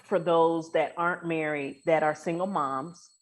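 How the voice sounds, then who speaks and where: A woman's voice: sits low, steady tone, unhurried. A woman speaking as a guest coach in a casual podcast conversation, earnest and colloquial, with emphatic phrasing.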